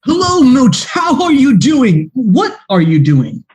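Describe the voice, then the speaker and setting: A man playing a fretful private detective in a radio play, flustered and quick to exclaim. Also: very high voice, huge pitch swings, a little mellow, full character voice.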